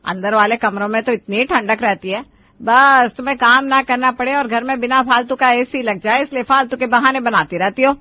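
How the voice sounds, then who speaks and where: Woman voicing a nagging stingy mother-in-law, higher-pitched. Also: fairly even intonation, normal speed, no echo, muffled recording, natural voice. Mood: annoyed, scolding. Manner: nagging, dismissive, bossy. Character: stingy, scolding older Indian mother-in-law. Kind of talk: Hindi cartoon story voice acting.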